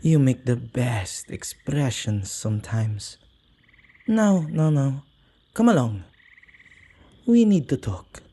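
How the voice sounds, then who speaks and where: Male witch, played by a man for ASMR roleplay: dark, husky, intimate, possessive but soft-hearted, old-fashioned wording. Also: expressive, exaggerated voice. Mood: teasing, commanding. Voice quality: low, husky, creaky voice. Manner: halting, gentle, slightly archaic phrasing.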